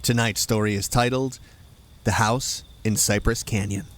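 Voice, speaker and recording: A man hosting, reading a scripted campfire-style introduction with a measured storyteller delivery. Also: on the low side, fairly even intonation, very noisy. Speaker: host.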